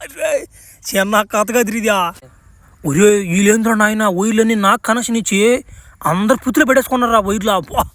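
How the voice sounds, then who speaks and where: A man as a very high-pitched cocky cartoon superhero. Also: steady tone, brisk, fairly bright tone, no echo. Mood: exasperated. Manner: boastful, taunting, slangy. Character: brash, cocky, foul-mouthed male superhero in a parody. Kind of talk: crude comic cartoon dubbing. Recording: music behind the voice.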